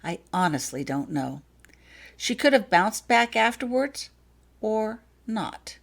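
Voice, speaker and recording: A woman voicing a calm, earnest man in an audiobook story, measured and gently apologetic as he explains. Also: mid-range voice, gravelly, pitched above the performer's own voice, heavily acted. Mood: apologetic, hesitant.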